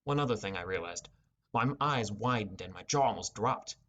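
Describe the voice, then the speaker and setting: A man's voice: fairly low pitch, lively intonation, moderate pace, a bit bright, dull audio. A man narrating a scripted first-person fantasy adventure as an audiobook.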